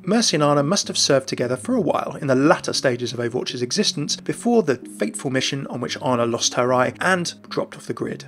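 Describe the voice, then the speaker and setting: Fast-talking man narrating game lore. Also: medium pitch, animated, a bit bright. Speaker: gaming lore video host. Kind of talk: scripted narration.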